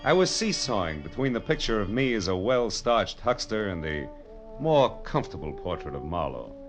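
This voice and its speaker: Deep-voiced wry private eye, lively delivery. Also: male voice, normal speed. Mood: wry, world-weary. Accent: American. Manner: sardonic, clipped, wisecracking. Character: wry, hard-boiled private detective, adult man. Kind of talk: first-person narration in 1940s radio detective drama. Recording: old radio broadcast, music behind.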